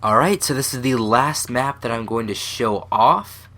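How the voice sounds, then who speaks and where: A man's voice: mid-range voice, unhurried, pushed and bright. A young man with a stuffy, congested voice, casually and self-deprecatingly commenting on his own old game maps, full of fillers and restarts. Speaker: gaming channel host.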